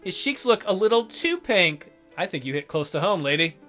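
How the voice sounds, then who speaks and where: Bright, expressive man reading a visual novel. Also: high-pitched, normal speed. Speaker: let's play host. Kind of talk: reading visual novel narration aloud with casual asides. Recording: soft music behind the voice.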